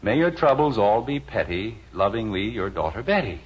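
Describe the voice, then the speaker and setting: A man's voice: fairly low pitch, very animated, normal speed, breathy edge. A middle-aged American man playing a genial, wryly joking suburban father in a 1950s radio sitcom. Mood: pleased. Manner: wry, hesitant with frequent hemming. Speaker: lead actor in radio sitcom. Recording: muffled old radio recording, faint noise.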